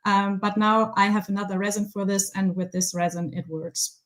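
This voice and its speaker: A woman with a German accent, a guest resin artist chatting casually on a video call, pausing often with ums. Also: medium pitch, flat delivery. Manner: hesitant, many ums, laughs easily. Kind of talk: casual chat.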